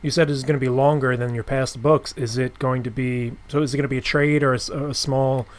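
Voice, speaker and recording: A man's voice: medium pitch, fairly even intonation. Encouraging, informal male podcast host. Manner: encouraging, informal, halting as he frames questions. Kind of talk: casual interview conversation.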